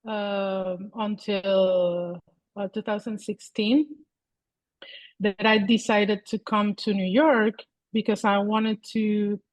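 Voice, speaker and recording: A Venezuelan woman in her forties speaks over a video call, thinking aloud and often circling back to restart a phrase. Her voice sits at an ordinary pitch and is captured close and dry, with no room behind it. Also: flat delivery, no room sound, rough recording. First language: Spanish. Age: 40s. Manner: thoughtful, halting, many restarts and fillers. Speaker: guest artist.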